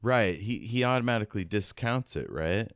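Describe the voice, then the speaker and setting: Deep, smooth-voiced man podcaster. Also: animated, moderate pace, no room sound, studio quality. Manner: informal, musing, questioning. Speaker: podcast co-host. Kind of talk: casual book discussion.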